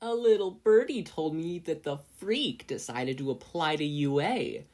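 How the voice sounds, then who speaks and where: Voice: medium pitch, animated, a little slow, a little mellow, voice pushed up, close to an everyday voice. A reader voicing a crowing, sickly-sweet teenage boy henchman who mocks his victim. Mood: gleeful mocking.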